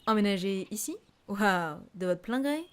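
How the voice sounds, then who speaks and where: A woman's voice: medium pitch, expressive, moderate pace, clear, resonant tone, lightly acted. Young woman, sardonic and blunt, mid-range voice, played by an actress for an audio drama. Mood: hesitant. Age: young adult. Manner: sarcastic, casual, swearing. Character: bitter, sarcastic, foul-mouthed young woman, grieving daughter.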